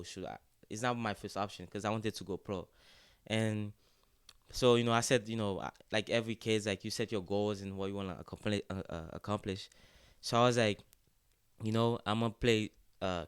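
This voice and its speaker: A young man from Haiti, a student-athlete guest, speaking in a relaxed, casual way and often saying "like" and "yes, sir" in an interview. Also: fairly low pitch, smooth, no echo, studio quality. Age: young adult.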